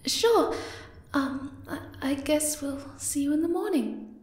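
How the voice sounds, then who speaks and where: A woman voicing a shy, flustered young moth-girl lover in an ASMR roleplay, hesitant, tender and soft-spoken. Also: high voice, animated, takes it slowly, roomy sound. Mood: flustered, apologetic.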